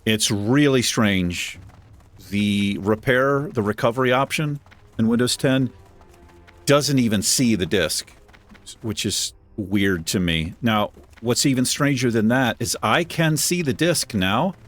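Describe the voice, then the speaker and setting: A man with a deep voice hosting a gaming channel, chatting casually and self-deprecatingly while he plays. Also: animated, slow pace, faint music behind. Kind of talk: casual gameplay commentary. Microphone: desk microphone.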